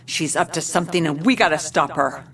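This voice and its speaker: Woman acting hard-boiled, deadpan police commissioner. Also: low voice, a little fast, background noise, close to an everyday voice. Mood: dry, determined. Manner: dry, deadpan. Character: female police commissioner, hard-boiled, dogged, world-weary investigator. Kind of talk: noir detective narration and dialogue.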